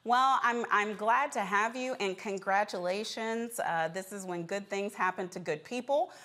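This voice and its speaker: A woman's voice: medium pitch, normal speed, bright, forceful tone. A woman hosting a news show, introducing and questioning guests in an interview style.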